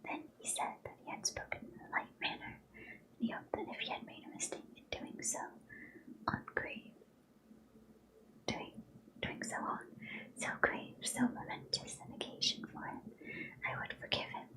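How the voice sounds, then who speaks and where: A woman's voice: moderate pace, pauses often, low-quality recording. Whispering woman reading a classic novel. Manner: whispered throughout. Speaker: reader.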